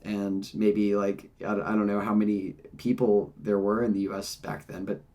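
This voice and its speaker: A man's voice: lowish voice, flat delivery, moderate pace, dark tone. A man hosting a podcast, chatting casually with lots of "like" and "um" and laughing easily. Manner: easygoing, many fillers like "like" and "um", laughs easily. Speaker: podcast host. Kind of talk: casual interview talk.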